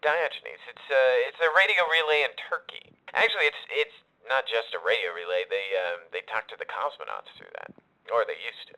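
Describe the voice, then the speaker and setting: Hesitant, bright-toned academic. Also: male voice, medium pitch, fairly quick, vocal fry, rough recording. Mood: musing, helpful. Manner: hesitant, stammering, awkward. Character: awkward, timid out-of-work American astrophysics lecturer. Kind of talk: naturalistic radio drama acting.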